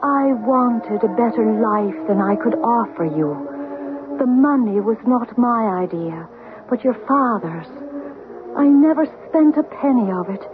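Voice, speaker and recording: A woman's voice: a little high, animated, a little slow, music in the background. An actress playing the restless, pleading ghost of a dead mother in an old radio horror drama, plaintive and ethereal. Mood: mournful, pleading. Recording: noisy, muffled vintage radio recording.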